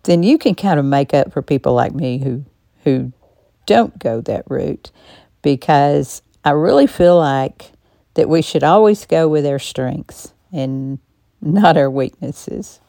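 A woman hosting her own podcast, a little hoarse today, sharing her thoughts in a calm, reflective monologue with frequent pauses and a non-native English accent. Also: sits low, no room sound, clean studio sound. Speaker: podcast host. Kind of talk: personal commentary monologue.

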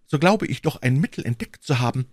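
A man's voice: mid-range voice, lively intonation, brisk, darkish tone, no echo, studio quality, voice pushed up, close to an everyday voice. A man voicing a devoted, brave young woman servant with an earnest, pleading and reassuring manner in a storybook reading. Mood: soothing, earnest.

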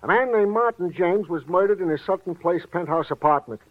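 Man acting high-voiced police sidekick, eager, fussy, chatty, theatrical 1940s radio drama, narrow vintage sound. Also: brisk, natural voice. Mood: eager reporting. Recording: band-limited vintage radio recording.

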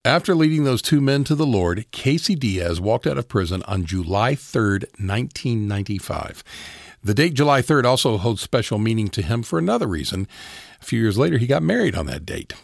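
A man narrating a true story in a steady, serious scripted style. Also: mid-range voice, a little fast, vocal fry, no echo, studio quality.

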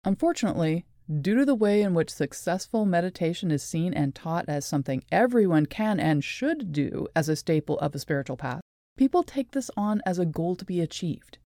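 A woman presenting a scripted explanatory talk in a measured, deliberate and articulate way. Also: fairly low pitch, animated, warm tone, no room sound, clean studio sound. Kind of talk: scripted explanatory narration with read quotations.

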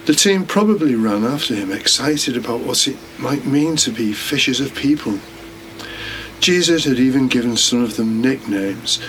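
A man's voice: medium pitch, lively intonation, normal speed, a bit bright, poor audio. A man delivering a scripted, sermon-like religious reflection as a podcast host. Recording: clear background noise.

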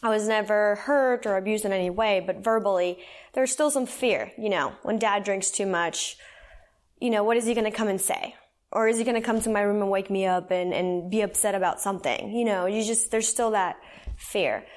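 A woman's voice: medium pitch, moderate pace. Earnest woman sharing her faith story. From El Salvador. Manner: earnest and conversational, with many fillers. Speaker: guest sharing her testimony. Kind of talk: personal faith testimony.

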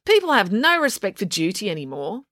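A woman plays a bossy, haughty teenage girl who speaks slowly and with emphasis. The voice sits well above the reader's own, and the sound is dry and close, as in a storybook reading. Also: mid-range voice, big pitch swings, a bit bright, dry recording, voice pushed up.

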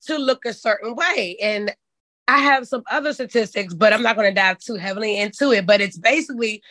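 Hoarse woman hosting a chat show. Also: medium pitch, brisk, sharp tone, no room sound. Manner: folksy and candid, says "child". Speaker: podcast host. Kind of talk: casual chat.

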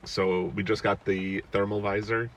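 A middle-aged man, a podcast host and parent, chatting casually with his child, relaxed and chuckling, asking lots of questions. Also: fairly low pitch, moderate pace, dull audio, slight background noise. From United States.

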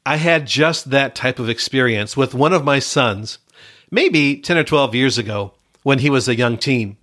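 A man's voice: mid-range voice, animated, a little slow, a bit bright. A middle-aged man from Michigan hosting his own podcast as a pastor and coach, talking earnestly with a congested, slightly wheezy voice and frequent fillers. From Michigan, USA. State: congested from a cold. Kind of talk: solo podcast monologue.

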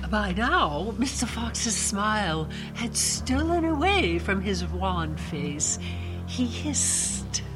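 Slow, theatrical woman narrator over music. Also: medium pitch, very animated. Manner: theatrical, suspenseful delivery. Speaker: choral narrator in radio drama. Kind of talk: dramatic scripted fairy-tale narration. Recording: music bed under voice.

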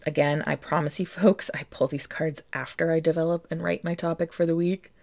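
A woman's voice: low-pitched, level tone, brisk, vocal fry, a little mellow. A woman hosting a reflective solo podcast as a tarot reader, speaking earnestly and directly to her listeners.

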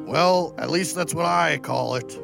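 A man playing a big friendly bear guide in a children's audio drama, theatrical, formal and gently teasing. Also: a little high, a little slow, creaky, music in the background. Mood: wry, teasing.

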